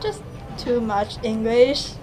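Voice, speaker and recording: A teenage exchange student from China speaks slow, hesitant English. Her voice is on the high side. Music plays clearly underneath. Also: sing-song, low-quality recording. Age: teens.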